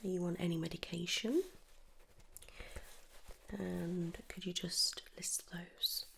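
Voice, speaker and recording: British female ASMR artist, hushed whisper with low, creaky edges, gentle clinical questioning, audible background noise. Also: level tone. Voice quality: breathy whisper and hushed soft voice. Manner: gentle, unhurried, reassuring questioning. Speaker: ASMR performer playing a dental receptionist or dentist. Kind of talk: ASMR roleplay, whispered and soft-spoken.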